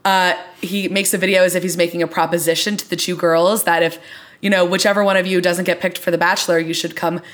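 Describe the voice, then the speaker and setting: A woman with a slightly low voice hosts a casual TV discussion podcast. She talks with open enthusiasm and leans hard on the words she cares about. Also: level tone, fast talker. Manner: enthusiastic, emphatic. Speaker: podcast host.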